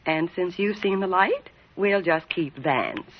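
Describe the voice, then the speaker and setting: A woman's voice: on the low side, slow pace, very noisy. An actress playing a scheming, sarcastic female racketeer in 1940s radio crime drama, brassy, slangy and needling. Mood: coolly threatening. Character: hard-boiled, scheming, sarcastic racketeer woman. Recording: dull vintage radio recording.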